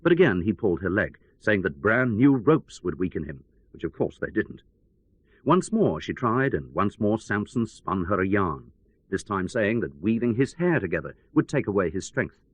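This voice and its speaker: A man's voice: lowish voice, big pitch swings, moderate pace, husky, no echo. A man playing a radio news correspondent, telling events as a dramatic, wry and emphatic report. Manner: wry, theatrical, emphatic storyteller.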